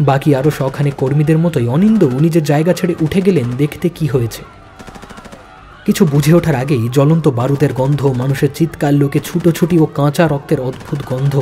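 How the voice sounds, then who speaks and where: A man's voice: a little high, warm tone. A man narrating a spy thriller audio story in a dramatic, suspenseful manner.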